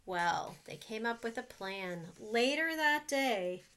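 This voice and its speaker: A woman reading a picture book aloud to children, gently pausing to ask them questions about the pictures. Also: fairly high pitch, lively intonation.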